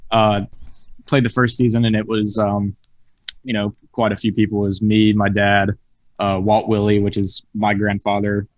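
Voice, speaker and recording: A man's voice: fairly low pitch, little pitch movement, normal speed, no echo. A late-teen man, a podcast guest on a video call, talking casually with swearing and stumbling restarts. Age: teens. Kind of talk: casual chat. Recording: dull lo-fi video call audio.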